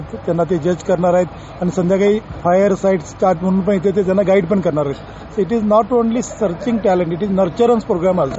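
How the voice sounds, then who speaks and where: A man's voice: sits high, warm tone. A man speaking as an official in an interview, explaining informally and mixing in many English terms. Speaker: senior education official being interviewed. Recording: dull interview audio, faint background noise.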